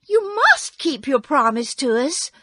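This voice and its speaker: A woman's voice: sits high, sing-song, slow pace, bright, forceful tone, dry recording, clean studio sound, pitched above the performer's own voice, full character voice. A woman voicing an earnest, indignant young girl who exclaims and insists, in a storybook reading. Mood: demanding.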